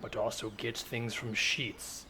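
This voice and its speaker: A man improvising a stoic, literal-minded robot from the future disguised as a girl, speaking in halting, self-important declarations for a comedy podcast. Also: mid-range voice, flat delivery, sharp tone, a little hiss or hum, rough recording. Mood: stubborn, stoic.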